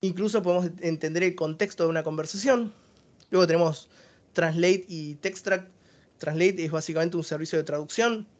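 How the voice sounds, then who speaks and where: A man's voice: a little high, moderate pace. A man with an Argentine accent giving a technical webinar presentation, explaining each service step by step.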